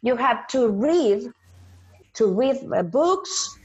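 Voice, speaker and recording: A slow, deliberate Mexican-accented woman whose first language is Spanish, talking as an actress in a podcast interview. Her voice sits fairly high, and she gropes for English words and drops into Spanish phrases. The sound is dull and a little lo-fi. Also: sing-song, a little mellow.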